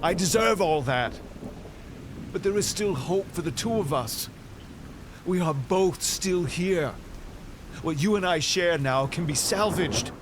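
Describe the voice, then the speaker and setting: A man's voice: high voice, lively intonation, measured pace, long pauses, background noise. A man playing an aging, remorseful king in an audio drama, grave and earnest as he pleads with his son. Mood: earnest pleading. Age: middle-aged.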